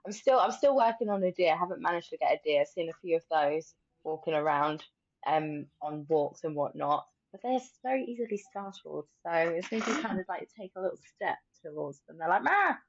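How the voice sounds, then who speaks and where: A woman with a fairly low voice talks casually as a podcast host. Her delivery is informal and reflective, thinking aloud as she goes. The sound is dry and close but a little dull and lo-fi. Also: lively intonation, fairly quick, dry recording.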